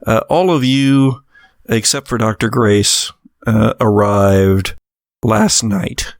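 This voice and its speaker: A man with a fairly low voice runs a horror tabletop game as game master. He speaks very slowly and descriptively, pausing often, in a dry, close recording. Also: fairly even intonation, dry recording. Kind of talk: narrating a tabletop horror role-playing game.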